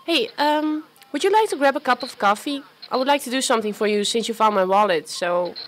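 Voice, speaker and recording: A woman's voice: a little high, brisk, close to an everyday voice. A woman playing a friendly, chatty, self-doubting young woman in an amateur radio play, with a hesitant, natural delivery. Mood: shy, friendly.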